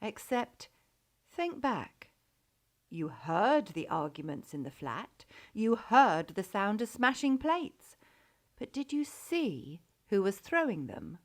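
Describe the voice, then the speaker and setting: An actress playing a challenging narrator in a radio drama, speaking in pointed rhetorical questions. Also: female voice, mid-range voice, big pitch swings, takes it slowly.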